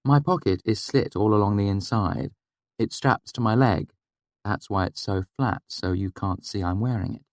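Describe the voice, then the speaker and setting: A man's voice: fairly low pitch, normal speed, dry recording, studio quality, pitched above the performer's own voice, natural voice. A man voicing a sly, practical young woman in an audiobook, with a wry, teasing manner. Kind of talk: audiobook character voice.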